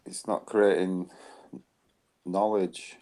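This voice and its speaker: A man's voice: deep voice, level tone, slow pace, warm tone. A man co-hosting a casual talk show, voicing opinions loosely and often ending with tag questions. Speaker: co-host.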